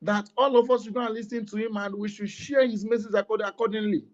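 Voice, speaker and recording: A man's voice: high-pitched, fairly even intonation, fast talker, muffled recording. A Nigerian man giving an impassioned speech in an online audio room, emphatic and repetitive, firing rhetorical questions.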